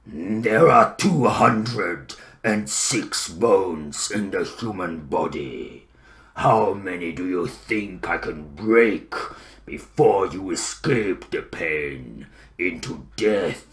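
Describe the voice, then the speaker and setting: A man voicing a brooding, eloquent, bitter troll king for fantasy game lines, gravelly and theatrical. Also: fairly bright tone, exaggerated voice. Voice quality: deep, gravelly. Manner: slow, theatrical, grandiloquent.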